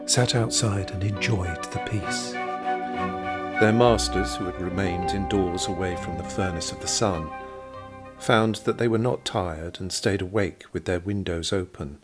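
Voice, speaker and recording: A man reading scripted literary narration for an audio drama in a measured storytelling manner, with a husky quality. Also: low-pitched.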